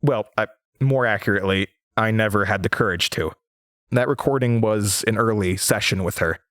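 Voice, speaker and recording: A man's voice: fairly low pitch, normal speed, fairly bright tone, no room sound, clean studio sound, natural voice. A man playing an anxious, haunted young host of a horror radio show in an audio drama. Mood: uneasy, confiding.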